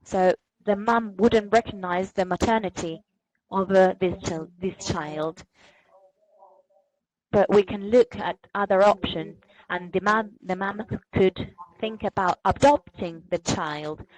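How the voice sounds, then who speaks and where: A woman legal expert explaining the law on a webinar, careful and halting, correcting herself. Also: mid-range voice, a little mellow, low-quality recording.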